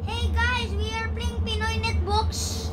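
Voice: extremely high pitch, little pitch movement, a little slow. A child co-hosting a gaming video, eagerly giving casual instructions as they play. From Philippines. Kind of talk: casual gameplay chat and instructions. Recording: very noisy home recording.

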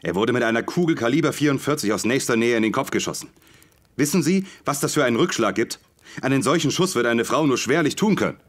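An actor playing a middle-aged army major in an audio drama, earnest, formal and forceful. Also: male voice, mid-range voice, expressive, quick pace, natural voice. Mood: earnest, grave.

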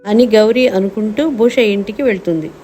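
A woman's voice: medium pitch, fairly quick, mellow, slight background noise. A woman narrating a scripted moral story for children.

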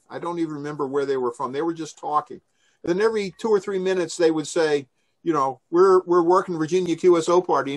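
A man's voice: a little high, poor audio. An older man giving an informal, explanatory briefing and answering questions over a video call. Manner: explanatory, conversational. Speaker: presenter taking questions. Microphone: video call microphone.

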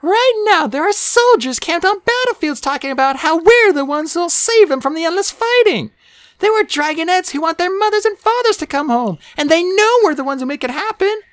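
A woman's voice: very high voice, lively intonation, moderate pace, dry recording, studio quality, pitched above the performer's own voice. A woman voicing a cheerful, dreamy young female dragon from a storybook, eager and earnest. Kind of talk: storybook character voice.

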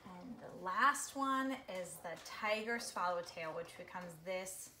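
A middle-aged woman reading a picture book aloud to children, with expressive, drawn-out phrasing and questions for her young listeners. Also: on the low side. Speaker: read-aloud storyteller for children. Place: small living room, seated on a couch. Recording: roomy home recording with background noise.

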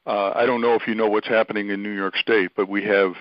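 Low-voiced man, deliberate, dull phone sound. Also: flat delivery, dry recording. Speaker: spokesman for an oath-keeping organization. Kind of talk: measured explanatory call-in talk. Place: telephone conference call. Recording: dull band-limited phone line.

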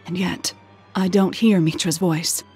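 A woman's voice: on the low side, steady tone, unhurried, a little mellow, breathy edge, faint music behind. An actress playing a devout young female holy knight in a video game, earnest, formal and resolute. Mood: solemn resolve.

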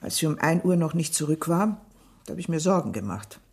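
An actress playing a bereaved middle-aged shopkeeper mother in a German radio crime drama, halting, formal and restrained. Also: sits low, sing-song, gravelly, a little mellow, breathy edge, heavily acted. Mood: subdued, distressed. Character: bereaved village shopkeeper mother, guarded and subdued.

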